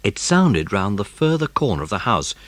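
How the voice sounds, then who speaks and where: A man's voice: fairly low pitch, big pitch swings, normal speed, no room sound. A man narrating a children's story in a dramatised audiobook style.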